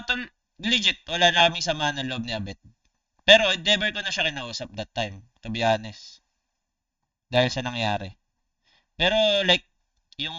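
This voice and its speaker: Man, Filipino accent, bright forceful voice, slangy casual streamer, sweary storytelling, dull lo-fi sound. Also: medium pitch, no echo, far from the mic. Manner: animated, slangy, swearing, talking to viewers. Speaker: gaming streamer. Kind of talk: casual storytelling livestream chat.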